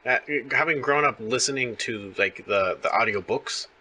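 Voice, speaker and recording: A man's voice: lowish voice, normal speed, pushed and bright. A man hosting a casual interview, talking like an enthusiastic fan. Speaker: interviewer, host.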